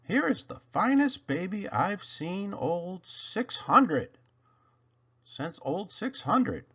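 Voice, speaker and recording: A man's voice: sits high, big pitch swings, slow, fairly bright tone, husky, lo-fi sound, pitched above the performer's own voice, full character voice. A man voicing an old engineer schoolmaster in a children's storybook, encouraging but firm, with emphatic instruction. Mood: admiring. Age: older. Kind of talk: children's storybook character reading.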